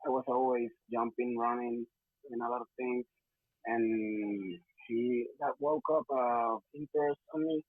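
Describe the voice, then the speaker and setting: A young Puerto Rican man talks haltingly, searching for English words between fillers. His voice comes through thin and phone-like, with a dry, close sound and no room around it. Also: medium pitch, steady tone, dry recording. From Puerto Rico. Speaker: interview guest, wrestler. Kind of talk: casual interview answers.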